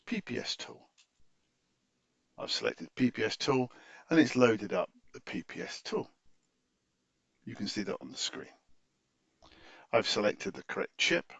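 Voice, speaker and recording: Gravelly man with lively pitch, walking through software. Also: dry recording, muffled recording. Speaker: software tutorial presenter. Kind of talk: unscripted screen-share tutorial walkthrough.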